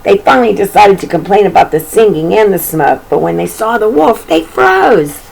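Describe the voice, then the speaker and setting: A woman's voice: medium pitch, very animated, moderate pace, creaky, a little mellow, rough recording. A woman reading a children's storybook aloud in an informal way, with chatty, folksy asides about the pictures.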